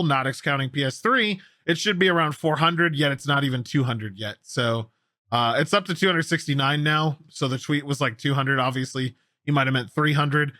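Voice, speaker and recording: A man's voice: a little high, pushed and bright, dry recording, clean studio sound. A man hosting a gaming news show, commenting casually and enthusiastically on stories with a co-host. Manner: enthusiastic, opinionated, conversational.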